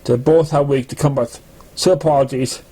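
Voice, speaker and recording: A man's voice: mid-range voice, fairly even intonation, normal speed, dark tone, husky, natural voice, low-quality recording. A man voicing a stern but fair schoolteacher pony in a formal, lecturing manner.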